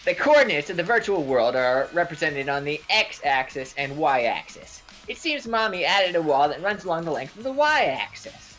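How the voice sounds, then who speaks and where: A childlike little robot bear, acted by a woman in a game fan dub. The voice sits low for a woman and swings in a lively way as it explains things earnestly. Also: moderate pace, hardly any pauses, faint music behind, rough recording. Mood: earnest, explaining.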